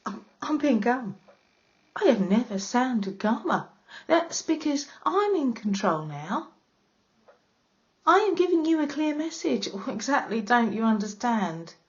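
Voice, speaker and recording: A woman's voice: fairly high pitch, big pitch swings, a little slow, dull audio. A woman playing a cold, taunting controller.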